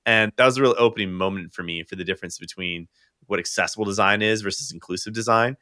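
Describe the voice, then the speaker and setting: A man's voice: fairly low pitch, quick pace, pushed and bright, no echo, clean studio sound. A man hosting a podcast, reflecting and telling a story with frequent fillers. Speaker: podcast host. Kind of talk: conversational podcast interview.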